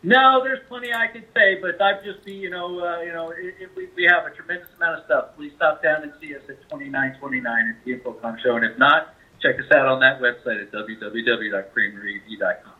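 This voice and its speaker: A man speaking as a company representative, giving a conversational product pitch as an interview guest over a phone line. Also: fairly quick. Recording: tinny narrow-band phone line.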